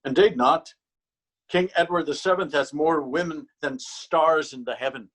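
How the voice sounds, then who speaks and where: A man's voice: on the high side, normal speed, a bit bright, poor audio. A man playing a proud, easily insulted police officer in a readers theater drama, stern and threatening. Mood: proud, assertive. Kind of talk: readers theater stage acting.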